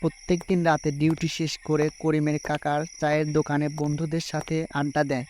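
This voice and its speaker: A man's voice: fairly high pitch, flat delivery, normal speed, a little mellow. A man reading scripted narration for a cartoon story. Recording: faint noise with night insects.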